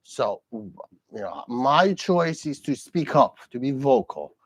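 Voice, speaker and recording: A man's voice: medium pitch, big pitch swings, slow, slightly rough, no echo. A man born and raised in Iran, hosting a solo live commentary in English, earnest and repeating himself, with many fillers and restarts. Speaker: host.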